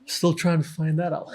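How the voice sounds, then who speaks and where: A man in his thirties from Denmark, talking as a podcast guest about his childhood, relaxed and self-deprecating, with many ums and restarts. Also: a little high, breathy edge. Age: 30s. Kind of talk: casual interview talk.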